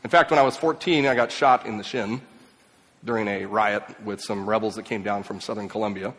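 A man raised in Mexico and Ecuador, giving an emphatic speech to an audience, swinging between loud and quiet. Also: fairly low pitch, steady tone, fairly quick. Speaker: speaker. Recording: long hall reverb.

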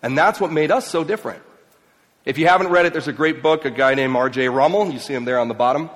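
A man raised in Mexico and Ecuador, giving an emphatic speech to an audience, swinging between loud and quiet. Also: a little high, fast talker. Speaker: speaker. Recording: long hall reverb.

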